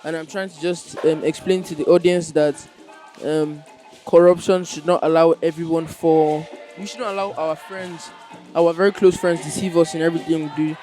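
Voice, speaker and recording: A young man with a Nigerian accent explaining his stage role in an informal interview, in a halting way. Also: fairly high pitch, fairly even intonation, dark tone. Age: teenager or young adult. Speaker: student actor in a school play. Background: music.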